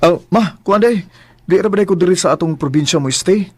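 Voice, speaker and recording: A man's voice: high voice, a little fast, slightly rough, dry recording. A man playing a young, earnest son and boyfriend in an amateur radio drama, often apologizing. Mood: warm.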